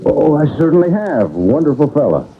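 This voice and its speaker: An actor playing a shifty, uneasy crook on the run in a 1970s radio mystery drama. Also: male voice, fairly high pitch, big pitch swings, brisk, gravelly, background noise. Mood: nervous. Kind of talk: 1970s radio mystery acting, first-person narration and dialogue. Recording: dull vintage radio broadcast with music.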